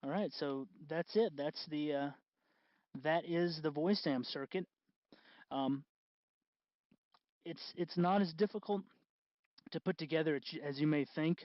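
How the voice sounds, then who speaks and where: A man hosting a do-it-yourself tutorial in casual, unscripted talk, halting and correcting himself as he goes. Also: a little high, no room sound, muffled recording. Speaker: host of a DIY costume electronics tutorial.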